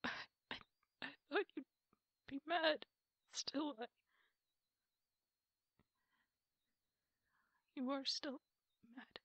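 A woman playing an apologetic, emotional girlfriend in an intimate ASMR roleplay, speaking softly and haltingly. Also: high-pitched, dry recording, muffled recording. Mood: tearful, relieved. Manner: halting, soft, breathy delivery with pauses. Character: apologetic, tearful girlfriend pleading to make up. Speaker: roleplay audio performer.

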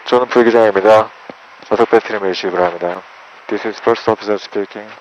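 A man's voice: on the low side, steady tone, moderate pace. A man speaking as an airline first officer, making a formal in-flight announcement over a cabin PA. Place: aircraft public address system. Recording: muffled cabin PA with noise. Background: cabin noise.

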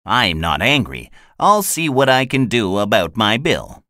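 A man voicing a kindly male country doctor who speaks gently and reassuringly. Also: lowish voice, big pitch swings, measured pace, dry recording, clean studio sound, heavily acted.

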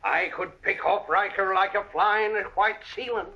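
An actor playing a folksy, excitable older cowboy sidekick with a gravelly, hesitant drawl, in old-time radio Western style. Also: male voice, high-pitched, normal speed, full character voice. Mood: eager. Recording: dull vintage radio recording.

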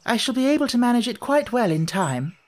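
A man's voice: very high voice, very animated, brisk, voice pushed up. A man voicing a polite, earnest young girl in an audiobook, prim and a little argumentative. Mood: prim, self-scolding. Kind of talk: audiobook character voice.

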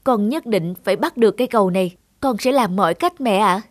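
A woman's voice: medium pitch, animated, fairly quick, natural voice. A woman voicing an earnest, resolute young village man in a cartoon. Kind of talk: cartoon dubbing.

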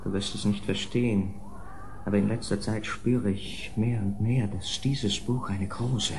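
A man playing a half-mad nobleman gripped by dread in a gothic horror radio play, speaking in a feverish, halting, gravelly voice. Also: lowish voice, steady tone, a little mellow, a little hiss or hum. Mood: ominous. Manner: feverish, halting, with eerie laughter and drops into whispering.

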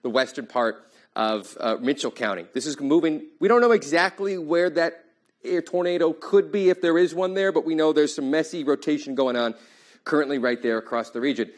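Young man, TV meteorologist, brisk, emphatic, live storm updates, studio lapel mic. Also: a little high. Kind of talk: live severe weather coverage. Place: TV studio in front of a weather wall.

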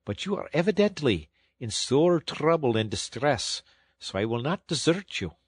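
A man's voice: medium pitch, moderate pace, slightly rough, no room sound, pitched above the performer's own voice. A man doing a stern, kindly engine driver. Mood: stern then kind. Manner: steady, firm and plain-spoken. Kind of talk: audiobook storytelling.

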